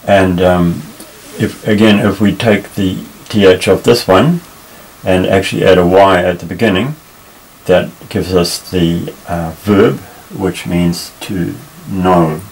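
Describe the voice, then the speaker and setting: A man teaching a Bible Hebrew lesson at a table, explaining patiently with many pauses and restarts. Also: low voice, poor audio. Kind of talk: unscripted tabletop lesson, explaining while pointing. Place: indoors at a table.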